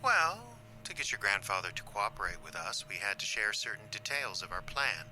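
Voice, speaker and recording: Man playing a coolly menacing low-voiced alien invader. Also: sing-song, pushed and bright, a little hiss or hum, full character voice, rough recording. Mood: cold threat. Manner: measured, condescending, threatening. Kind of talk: audio drama acting.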